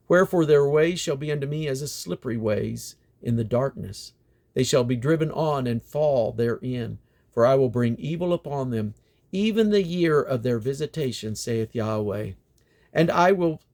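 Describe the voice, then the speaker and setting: Male scripture reader, fairly high-pitched, solemn and declamatory, archaic Bible text read with emphasis. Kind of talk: solemn scripture reading from the King James Bible.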